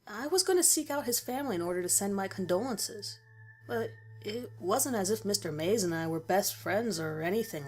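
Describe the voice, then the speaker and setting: A woman reading a first-person horror story as a scripted narration. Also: mid-range voice. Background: music.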